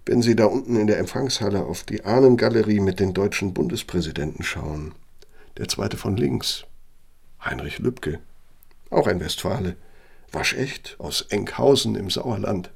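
An actor in a radio play as an aging, self-justifying architect with a Nazi past, speaking deliberately and emphatically in a gravelly, husky voice. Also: male voice, fairly low pitch, darkish tone. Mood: genial, boastful. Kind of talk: German radio play monologue and dialogue.

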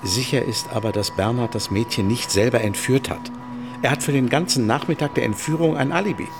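An actor playing a grave, loyal defence lawyer, sober and earnest, in a radio drama. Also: male voice, medium pitch, a little fast, vocal fry, music in the background, natural voice. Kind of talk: radio play acting.

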